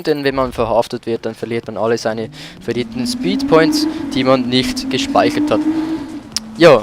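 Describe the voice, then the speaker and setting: A man's voice: mid-range voice, noisy background. A man giving casual commentary as he plays a video game. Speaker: let's play gamer. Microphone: voice-over mic over game audio. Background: racing game car sounds and music.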